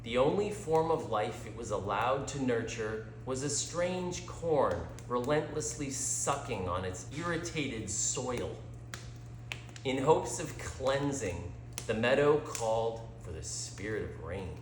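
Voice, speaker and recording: Slow, expressive man storyteller in echoey room. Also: mid-range voice, a little hiss or hum. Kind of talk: scripted storybook narration.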